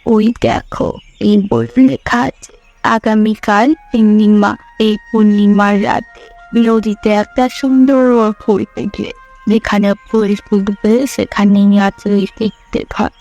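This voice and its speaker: A woman voicing a knowing, solemn old granny who guards treasure in a cartoon fairy tale, speaking in a measured, instructive way. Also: medium pitch, fairly even intonation, gravelly, darkish tone, muffled recording, faint music behind.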